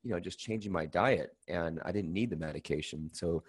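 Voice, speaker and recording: A man's voice: deep voice, brisk, breathy edge. A man calling in to a radio chat, talking in a conversational, reflective way. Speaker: caller.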